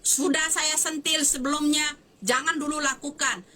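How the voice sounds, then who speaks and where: Woman, fifties, fiery, emphatic, repetitive, high bright voice. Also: fairly even intonation, moderate pace, slight background noise. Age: 50s. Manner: fiery, emphatic, repeats phrases and demands answers. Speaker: social media commentator. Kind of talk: impassioned video statement.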